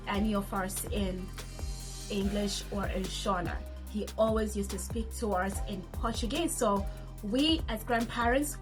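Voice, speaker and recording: Chatty woman over music. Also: mid-range voice. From Zimbabwe. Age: 30s. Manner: chatty, reads out each question then answers it. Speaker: vlogger. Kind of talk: casual get-to-know-me tag.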